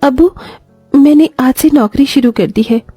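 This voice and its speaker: A woman's voice: high-pitched, measured pace, mellow, no echo, faint music behind, pitched above the performer's own voice. A woman voicing a kind, devoted young daughter-in-law in a moral story, speaking earnestly, respectfully and with feeling.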